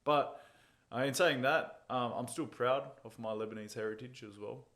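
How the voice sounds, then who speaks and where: A man, an MMA fighter being interviewed, telling stories in a relaxed, casual way and chuckling at his own jokes. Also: mid-range voice, moderate pace.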